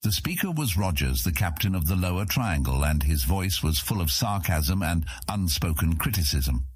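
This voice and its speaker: Very deep, husky male narrator. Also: sing-song, normal speed, dry recording. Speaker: audiobook narrator. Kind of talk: scripted fantasy narration.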